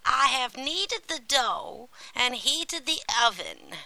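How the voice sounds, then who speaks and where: Shrill, cruel old witch. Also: sing-song, takes it slowly, gravelly, bright, forceful tone, no echo, exaggerated voice, low-quality recording. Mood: commanding. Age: older. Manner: scolding, exclaiming, menacing. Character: cruel greedy old witch who eats children. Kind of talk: storybook character acting.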